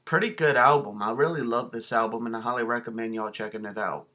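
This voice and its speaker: A man's voice: mid-range voice, fast talker, noisy background. A man hosting a casual show-and-tell vlog in a folksy, plain-spoken, enthusiastic way. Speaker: video host showing a cassette collection.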